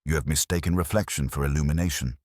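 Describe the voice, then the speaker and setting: Man playing a very deep, imperious detective. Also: a little mellow, dry recording, clean studio sound. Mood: stern, unruffled. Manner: formal, measured, clipped pronouncements. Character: imperious, unhurried, stern older male detective.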